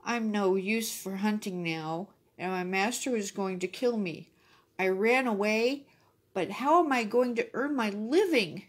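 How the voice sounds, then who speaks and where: A woman's voice: mid-range voice, measured pace, natural voice. A woman voicing a weary old hunting dog in a storybook, plaintive and sorry for itself.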